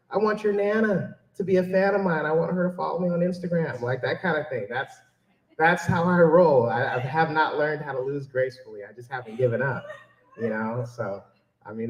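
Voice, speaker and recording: A man's voice: fairly high pitch, dull audio. Echoey man speaking casually to an audience. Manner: joking, self-deprecating, animated when quoting himself. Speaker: keynote speaker and children's book author. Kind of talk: informal audience Q&A talk. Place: public library event room. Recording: echoey room, mic at a distance.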